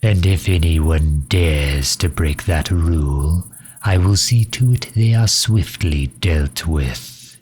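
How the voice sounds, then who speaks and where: Man voicing a low, husky, courteous vampire leader. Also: slow, a little mellow, exaggerated voice. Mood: earnest, reassuring. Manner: formal, polite, old-fashioned diplomatic phrasing. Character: courteous, earnest male vampire leader pleading for refuge. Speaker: voice actor in an audio roleplay. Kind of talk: scripted ASMR roleplay, addressing the listener.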